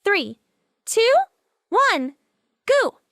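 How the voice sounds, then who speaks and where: A woman playing a very high, sweet friend. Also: big pitch swings, drawn-out words, sharp tone, slight background noise, full character voice. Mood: cheerful.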